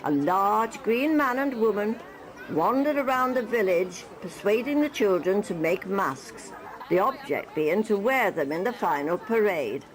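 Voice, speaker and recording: A woman's voice: mid-range voice, animated, measured pace, some chatter behind, low-quality recording. A woman narrating a local newsreel from a script, with light wry humour.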